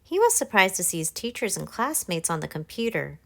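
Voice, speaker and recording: A woman narrating a children's picture book, reading aloud in simple, clear phrases for young listeners. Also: mid-range voice, sing-song, a little fast, no echo. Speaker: author narrating her own children's book. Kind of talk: scripted children's storybook narration.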